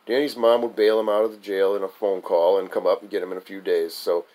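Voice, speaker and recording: A man's voice: low voice, level tone, fast talker, mellow, low-quality recording. A man reading his own memoir aloud as a wry, amused storyteller. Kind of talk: memoir recitation, narrated reading.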